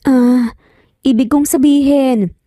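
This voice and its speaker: A woman's voice: high-pitched, fairly even intonation, slow, dark tone, no echo, clean studio sound. A woman voicing a flustered, indignant young housemaid in a romance audio story, with exclamatory, incredulous questions. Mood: embarrassed, pouty.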